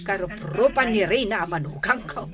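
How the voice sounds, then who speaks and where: A woman's voice: medium pitch, lively intonation, normal speed, crowd noise, natural voice. An actress playing a gossipy, haughty older mother-in-law in a radio drama, with an Ilocano accent from the Northern Philippines. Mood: scandalised, mocking.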